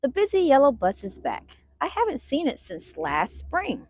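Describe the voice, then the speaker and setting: A woman reading a picture book aloud for children in an expressive, deliberate storytelling manner. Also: high-pitched, no room sound. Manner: expressive, deliberate storytelling with stressed sound words. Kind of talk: animated children's read-aloud.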